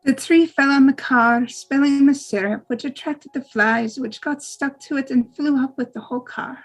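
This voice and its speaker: Female actor as young wife in a family drama, high register, gentle and supportive. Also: steady tone, normal speed, faint music behind, low-quality recording. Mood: recounting. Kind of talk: table read of a film script.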